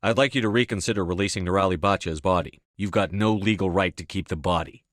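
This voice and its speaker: A man's voice: low-pitched, quick pace, fairly bright tone, dry recording, clean studio sound. A man playing a commanding, no-nonsense military officer in a video game, speaking in a terse, direct, authoritative way. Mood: firm, insistent. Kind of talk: scripted game dialogue.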